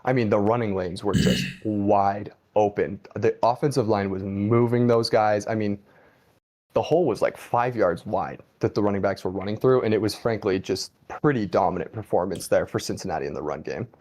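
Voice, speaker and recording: A man's voice: fairly low pitch, moderate pace, darkish tone. A man hosting a casual football talk show, giving opinions with lots of "I mean" and "like.". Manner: conversational, many "I mean" and "like" fillers. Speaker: host.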